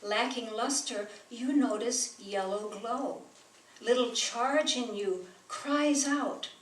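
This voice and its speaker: A woman whose first language is German, reading her poems slowly and deliberately at a live bookstore event. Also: fairly high pitch. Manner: slow, measured delivery with pauses. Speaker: poet. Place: bookstore reading room with an audience. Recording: roomy, distant sound with faint noise.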